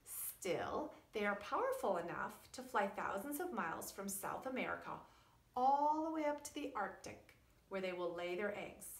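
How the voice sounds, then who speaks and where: A middle-aged woman, a preschool teacher, explaining and reading aloud to young children in a deliberate, emphatic, teacherly way. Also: medium pitch, creaky. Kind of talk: children's science lesson and read-aloud story. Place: indoors in a wood-panelled room at home or school. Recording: echoey room.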